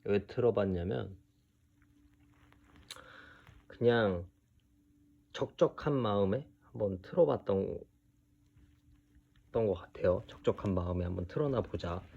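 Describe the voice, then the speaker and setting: Low, gravelly man in his thirties, halting. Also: dull audio. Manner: halting, hesitant, trailing into pauses. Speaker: video creator telling his own story. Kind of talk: unscripted personal monologue to camera.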